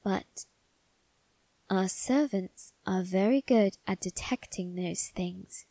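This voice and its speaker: A woman voicing a doting, secretive young male vampire aristocrat in a soft, intimate ASMR roleplay, with refined, coaxing phrasing. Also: mid-range voice, gravelly, no echo. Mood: tender, reassuring. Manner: coaxing, gentle, unhurried, refined phrasing with tag questions. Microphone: close, dry microphone.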